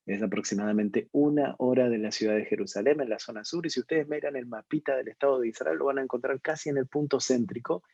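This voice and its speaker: A man's voice: mid-range voice, fast, vocal fry, hardly any pauses, darkish tone, breathy edge, no room sound. A middle-aged man from Argentina with an Argentine accent, leading a ministry and giving a webinar talk, hesitant, with many fillers and restarts. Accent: Argentine Spanish. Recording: dull, lo-fi video-call audio.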